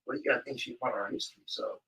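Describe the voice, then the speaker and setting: A man's voice: mid-range voice, sing-song, very fast, creaky, pushed and bright, husky, recorded from a distance. A man co-hosting a casual community talk show, laid-back and affirming, often asking "you know what I mean.". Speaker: co-host.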